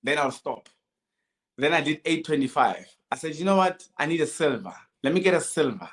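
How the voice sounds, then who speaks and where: A man's voice: on the high side, lively intonation, brisk. A South African man in his thirties telling his own story casually as a guest, in short sentences with quoted dialogue. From Limpopo, South Africa. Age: 30s. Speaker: podcast guest, amateur runner.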